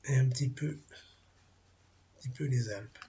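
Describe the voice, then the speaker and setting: A man with a cold and a French accent giving an informal weather briefing, halting and full of fillers as he talks through maps. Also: mid-range voice, warm tone, highs cut off. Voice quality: gravelly, husky. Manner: halting, many fillers, thinking aloud over weather maps. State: has a cold, clears throat. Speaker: amateur weather forecaster.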